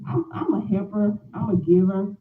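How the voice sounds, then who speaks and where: A woman's voice: mid-range voice, expressive, normal speed. Forties woman vlogger, chatty and meandering; recorded on a tinny line in a roomy, noisy space. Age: 40s. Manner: rambling, repeats herself, frequent "um" fillers. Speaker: lifestyle vlogger. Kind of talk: casual morning chat to viewers.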